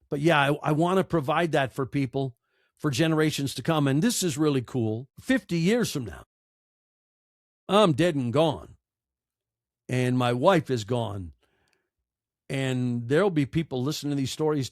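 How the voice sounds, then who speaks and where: A man in his sixties with an American accent, giving reflective, earnest interview answers in short, emphatic, deliberate phrases. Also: medium pitch, expressive, no room sound, clean studio sound. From United States. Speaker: podcaster and storyteller, interview guest.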